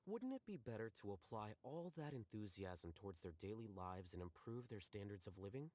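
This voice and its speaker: A man voicing an aloof young male scholar in a video game, blunt and deadpan, with precise, dryly formal delivery. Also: on the low side, fairly quick. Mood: dry, matter-of-fact. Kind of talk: scripted video game voice lines.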